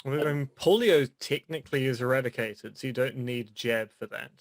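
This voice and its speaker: A man's voice: medium pitch, a little fast, dry recording. Dry-witted middle-aged man, dry room. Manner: dry, wry joking. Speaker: podcast co-host. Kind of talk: casual chat.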